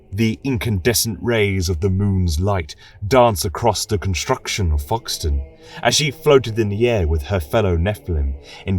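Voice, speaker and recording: A man narrating a scripted fantasy tale as its storyteller, in a solemn, archaic and deliberate manner. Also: sits low, husky, distant mic. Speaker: host and storyteller.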